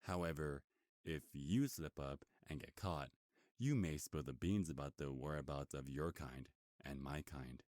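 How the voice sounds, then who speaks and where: A man voicing a cocky, taunting werewolf bounty hunter in an ASMR roleplay, with a booming voice and smug, teasing menace. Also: unhurried, darkish tone, dry recording, clean studio sound. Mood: smug, explanatory. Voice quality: booming deep voice.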